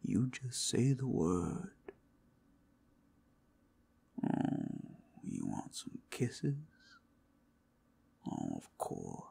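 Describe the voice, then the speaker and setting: A man playing a big bad werewolf as a tender, protective lover in an intimate ASMR roleplay, crooning soothingly in a gravelly, breathy half-whisper. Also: low-pitched, wildly swooping pitch, drawn-out words, dark tone, heavily acted. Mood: soothing, doting. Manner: soothing, crooning, coaxing with pet names.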